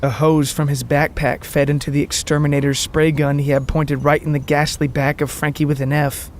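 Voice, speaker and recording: A man's voice: a little high, level tone, brisk, a little hiss or hum. A man reading scripted fiction as the narrator of an audio story.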